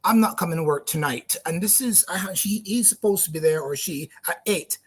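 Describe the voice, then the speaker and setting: A man's voice: sits high, fairly quick, husky. A man from Barbados with a light Barbadian accent, talking at length as a guest in an interview, friendly and often repeating words while he thinks. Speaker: guest, platform founder. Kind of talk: interview answers. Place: studio.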